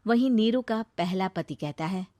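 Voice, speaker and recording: A woman's voice: medium pitch, moderate pace, mellow, dry recording. A woman telling a scripted moral story as narrator.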